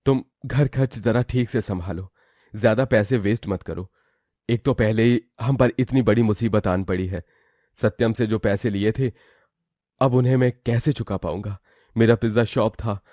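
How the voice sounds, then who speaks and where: आदमी की आवाज़ में दुखी, परेशान गरीब पिज्जा वाला. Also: male voice, fairly low pitch, mellow, dry recording, clean studio sound, natural voice. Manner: plaintive, lamenting. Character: distraught, worried poor pizza seller, family man. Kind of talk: melodramatic Hindi moral-story acting.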